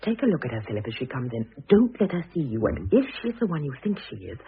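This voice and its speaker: An actress playing a composed, dry-witted mature British mother, brisk and commanding, in an old-fashioned radio drama. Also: sits low, sing-song, a little hiss or hum. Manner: brisk, commanding, drily ironic. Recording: thin phone-like vintage radio sound.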